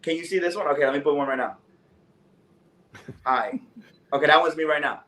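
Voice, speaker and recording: Animated young man raised speaking Spanish. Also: a little high, poor audio. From Los Angeles, California. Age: 20s. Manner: animated, joking, quick restarts. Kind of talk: casual podcast chat.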